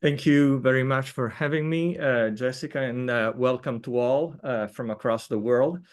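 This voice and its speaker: A man from Italy giving a webinar lecture as an economist, halting with frequent fillers but emphatic. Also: mid-range voice. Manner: hesitant, many uh fillers, lively emphasis. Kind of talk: lecture presentation. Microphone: video call.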